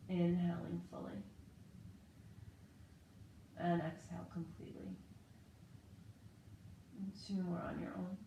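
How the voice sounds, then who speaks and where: A woman in her thirties, slightly hoarse, calmly leading a guided yoga and breathing session from her living room. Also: lowish voice, takes it slowly, pauses often, muffled recording. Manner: calm, gentle cueing with breath. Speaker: yoga and meditation teacher. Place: living room at home, indoors. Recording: echoey home room, faint background noise.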